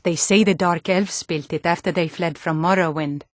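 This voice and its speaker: A woman's voice: lowish voice, steady tone, moderate pace, no room sound, studio quality, close to an everyday voice. An actress voicing a hardy, proud Nordic townswoman in a video game, with a Nordic accent and a blunt, theatrical delivery. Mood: matter-of-fact, wary. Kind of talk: video game character voice acting.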